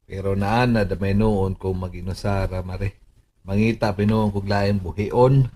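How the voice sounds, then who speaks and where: A man's voice: low voice, fairly even intonation, moderate pace, warm tone. A man playing a courteous, probing middle-aged father in a radio drama, hesitant and stumbling between words. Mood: sheepish. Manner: hesitant, full of ums and chuckles. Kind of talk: Filipino radio drama acting.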